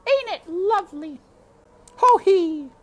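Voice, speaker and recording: Piercingly high, excitable old kitchen cook. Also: female voice, slow, soft background music, full character voice. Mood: jolly, relieved. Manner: exclamatory, folksy, cackling ho-hees. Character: plump nervous, jolly old female kitchen cook. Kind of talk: storybook character voice.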